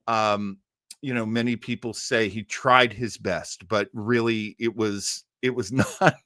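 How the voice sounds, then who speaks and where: A man's voice: medium pitch, bright, forceful tone, no echo, clean studio sound. A middle-aged man hosting a web show in a casual livestream chat, rambling and effusive, repeating words for emphasis. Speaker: host of a web show on old time radio. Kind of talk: casual livestream chat, answering audience comments.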